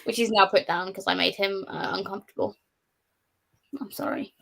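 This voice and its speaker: A woman's voice: medium pitch, fairly even intonation, moderate pace, gravelly, fairly bright tone, slight background noise. A woman speaking casually as a guest on a book livestream. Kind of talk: casual chat.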